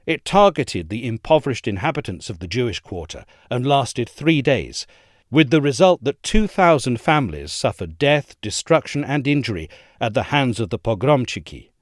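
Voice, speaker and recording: A man narrates a written essay in a formal, carefully articulated way. His delivery is measured, yet his intonation moves in a lively range around a mid-level pitch. The sound is dry, with very little room in it. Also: dry recording. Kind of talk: scripted narration, reading an essay aloud.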